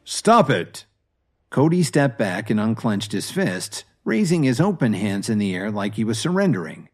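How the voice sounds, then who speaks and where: A man's voice: on the low side, sing-song, moderate pace, darkish tone. A man reading scripted story narration for an audio series. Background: music.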